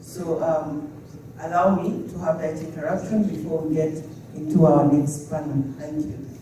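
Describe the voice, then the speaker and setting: A man's voice: fairly high pitch, little pitch movement, normal speed, dark tone. A man moderating a trade summit, politely introducing the next speakers with frequent fillers. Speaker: event moderator. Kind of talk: conference announcement. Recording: echoey hall, distant mic, faint noise.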